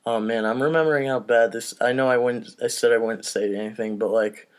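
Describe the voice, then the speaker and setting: A man's voice: mid-range voice, fairly even intonation, brisk. A man hosting a podcast in casual, rambling, self-deprecating chat, with some swearing, recorded over an online voice call. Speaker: podcast host and narrator. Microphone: home mic on online voice call.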